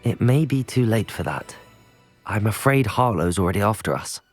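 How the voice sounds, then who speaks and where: A man's voice: fairly low pitch, lively intonation, moderate pace, soft background music. An actor playing an earnest, caring young male student wizard in a video game. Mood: earnest, concerned.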